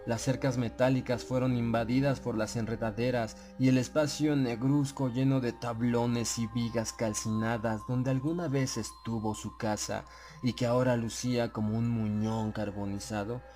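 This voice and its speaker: A man narrating a horror audiobook in a solemn, measured, suspenseful way. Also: medium pitch, fairly even intonation, a little mellow. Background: prominent background music.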